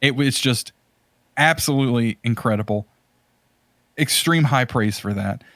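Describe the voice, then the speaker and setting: A man's voice: medium pitch, a little slow, fairly bright tone, no echo. Emphatic man chatting, close dry sound. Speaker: podcast host. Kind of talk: casual chat, opinionated film commentary.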